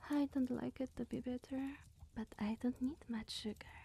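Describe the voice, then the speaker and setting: A woman voicing a sweet, bashful, scatterbrained young witch in a soft, partly whispered ASMR roleplay, coy and rambling with musing hums. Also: high voice, fairly even intonation, normal speed, full character voice. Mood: friendly, chatty. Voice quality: soft, breathy.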